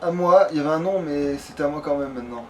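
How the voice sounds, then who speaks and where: A man's voice: a little high, quick pace, darkish tone. A man doing casual, slangy let's play commentary with a friend, swearing freely as he talks. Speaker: let's player. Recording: background noise and game ambience. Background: video game sound.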